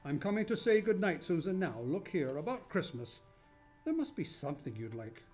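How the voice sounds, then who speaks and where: Casting: man as genial, grandfatherly old gentleman for holiday radio drama, playful, animated intonation. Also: fairly high pitch, moderate pace, soft background music. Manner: gentle, chuckling, hesitant repeats. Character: kindly, playful old man who says he is Santa. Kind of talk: stage-style radio play acting.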